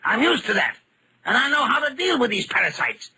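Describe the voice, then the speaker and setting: An actor playing an irascible, haughty society gentleman in a 1940s radio drama, pompous and blustering. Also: very high-pitched, big pitch swings, fairly quick, full character voice. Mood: irritated, dismissive. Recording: noisy vintage radio recording.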